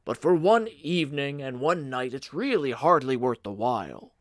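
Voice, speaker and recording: A man reading an audiobook as a stout, kindly gentleman who is eager to please, coaxing and jovial. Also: mid-range voice, expressive, moderate pace, dry recording, studio quality, natural voice. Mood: genial, reassuring. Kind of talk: audiobook character dialogue.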